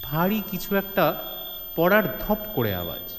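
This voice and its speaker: A man's voice: high-pitched, very animated, unhurried, a bit bright, slight background noise. A man narrating a comedy-mystery story in a theatrical, suspenseful way, with touches of mock alarm. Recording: long hall reverb, music bed.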